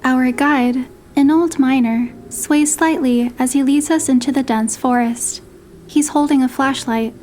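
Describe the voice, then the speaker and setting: A woman's voice: high-pitched, fairly even intonation, a little slow, darkish tone, a little hiss or hum. A woman playing a young heroine who narrates her romantic story in the first person, in a scripted storytelling style. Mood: gentle storytelling.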